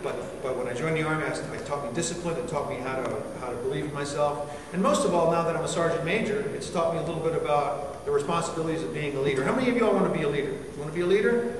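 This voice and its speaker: A man in his forties, originally from Chile, gives a chatty talk to cadets and keeps throwing questions at the crowd. His slightly high voice echoes around a large hall and is picked up from far off. There is steady noise in the background. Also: racing, few pauses. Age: 40s. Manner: chatty, asks the audience questions. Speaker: army band musician.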